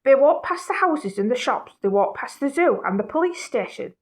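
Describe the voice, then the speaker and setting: A woman reading a children's picture book aloud in an animated way, with casual, playful, apologetic asides. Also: high-pitched, normal speed. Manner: playful, self-interrupting, apologetic.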